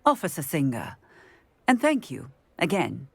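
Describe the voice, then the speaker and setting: Forthright policewoman, widely swinging pitch. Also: huge pitch swings, unhurried, vocal fry, husky, no echo, heavily acted. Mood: impressed, composed. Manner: forthright, exclamatory. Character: brisk, commanding, good-hearted village policewoman in wizarding world. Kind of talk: video game cutscene acting.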